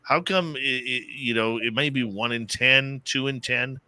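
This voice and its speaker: A man's voice: mid-range voice, a little slow, no echo. A man hosting a late-night talk radio show, upbeat and chatty with his guest. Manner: upbeat, excitable sign-offs.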